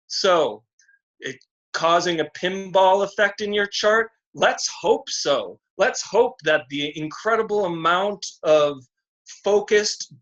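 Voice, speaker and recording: A man giving a slow, deliberate, encouraging astrology reading on a video call. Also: high-pitched, fairly bright tone. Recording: dull lo-fi video call audio.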